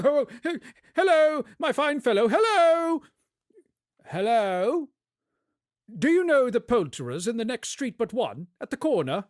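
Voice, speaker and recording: A man voicing an old male miser, pleading desperately and then laughing with giddy, shouting joy. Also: sits high, dry recording, clean studio sound. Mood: excited.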